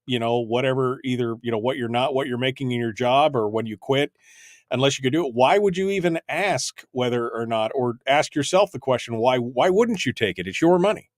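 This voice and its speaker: A man's voice: mid-range voice, fairly quick, no room sound, clean studio sound. A man hosting a call-in radio show, talking casually and chattily, often repeating himself. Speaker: radio talk show host. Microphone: studio microphone.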